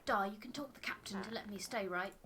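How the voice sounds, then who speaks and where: A woman's voice: medium pitch, fast, a bit bright, close to an everyday voice. A woman voicing a pleading, defiant young stowaway in a game. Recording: noisy home recording.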